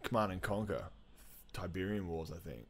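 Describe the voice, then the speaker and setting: A man hosting a podcast, chatting casually and telling stories in an animated, slangy, sweary way. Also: sits low, normal speed. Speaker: podcast host. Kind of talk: casual chat about comics.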